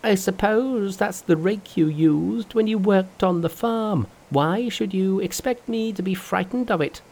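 A narrator voicing a boastful, fearless male monkey king in a storybook reading, loud and mocking. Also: medium pitch, a little slow, voice pushed up.

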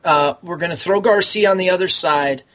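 An enthusiastic man talking loosely in casual conversation. His voice is bright and somewhat high-pitched. The recording sounds dull and lo-fi. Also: a little fast. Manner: chatty, rambling, enthusiastic. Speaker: guest, military veteran. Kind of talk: casual podcast chat.

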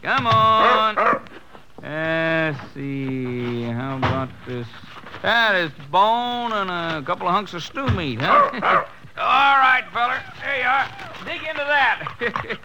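Noisy old radio recording, kindly folksy cafe guy, western drawl, high swoopy voice. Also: sing-song, slow pace, heavily acted. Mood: kindly, coaxing. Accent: American Western drawl. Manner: folksy, rustic, chuckling speech. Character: folksy, kindly middle-aged male roadside cafe owner. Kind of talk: 1950s radio drama acting.

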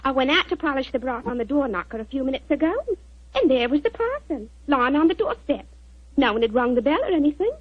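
A high-pitched, swooping maid, servile and talkative, in Victorian London radio acting. The old recording is dull and dry. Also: female voice, animated, fast, dry recording. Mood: puzzled, chatty. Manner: chatty, servile. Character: chatty, deferential household maid in Victorian London.

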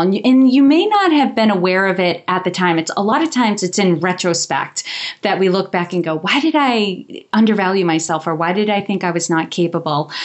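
A woman hosting an interview podcast, introducing and questioning in a conversational presenting style. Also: mid-range voice. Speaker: podcast host.

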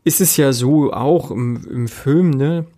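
A man's voice: medium pitch, slow, dark tone. A man co-hosting a casual film discussion podcast, often checking for agreement with short tag questions. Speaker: podcast co-host.

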